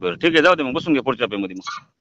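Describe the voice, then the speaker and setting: A man's voice: medium pitch, animated, very fast. A man talking informally on a phone call as a company agent, insistent and brusque. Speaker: company agent handling solar pump deliveries and payments. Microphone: telephone. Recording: dull lo-fi phone call, faint noise.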